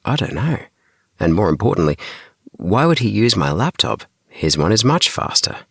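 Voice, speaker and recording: Guy doing a stubborn earnest kid inventor for a bedtime audiobook, low n dry sounding. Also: very animated, normal speed, creaky, fairly bright tone, slightly rough, no echo, full character voice. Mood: puzzled. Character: earnest, defensive child inventor telling own story. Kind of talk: children's audiobook character dialogue.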